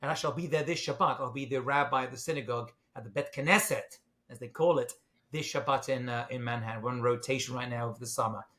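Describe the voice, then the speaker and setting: A man's voice: mid-range voice, fairly even intonation, quick pace, pushed and bright. London-accented middle-aged man, joking. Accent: British English, London. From England. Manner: self-deprecating, jokey, with fillers. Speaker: rabbi, guest. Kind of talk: casual interview talk.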